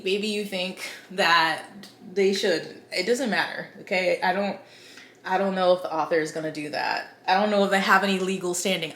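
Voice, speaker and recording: A woman's voice: lowish voice, sharp tone, echoey room, a little hiss or hum. A woman book vlogger giving casual, chatty opinion commentary with wry, incredulous asides.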